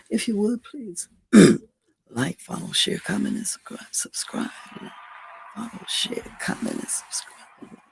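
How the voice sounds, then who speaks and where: A hoarse, raspy-throated host of a casual livestream show, repeating station call-outs and coaxing viewers to like and subscribe. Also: medium pitch, a little hiss or hum, rough recording.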